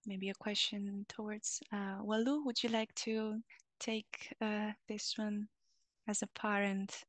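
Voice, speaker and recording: A woman's voice: medium pitch, flat delivery, slow, no echo. A woman moderating an online panel Q&A, reading out audience questions with frequent fillers. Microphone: video call.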